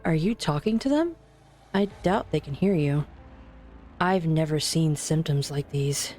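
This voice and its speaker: Casting: actress for analytical woman researcher, low and sober, game dub. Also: lively intonation, unhurried, a little mellow, faint music behind. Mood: probing. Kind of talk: scripted game fan dub acting.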